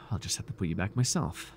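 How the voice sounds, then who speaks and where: Man acting a creaky-voiced, fawning cult servant. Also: sits low, slight background noise. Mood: tender, devoted. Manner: reverent and fawning, halting, with sighs and stammers. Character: devoted, obsessive male cult servant captor of a dark god. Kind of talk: intimate ASMR roleplay acting.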